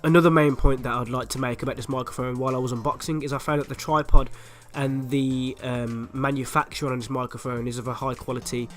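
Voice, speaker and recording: A man with a raspy voice, hoarse from a flu, chatting upbeat and direct to viewers as he reviews a microphone at home on a desktop USB mic. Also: mid-range voice, fairly even intonation, fast talker, faint music behind. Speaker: YouTube channel host. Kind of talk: casual product review and unboxing. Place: at home, indoors.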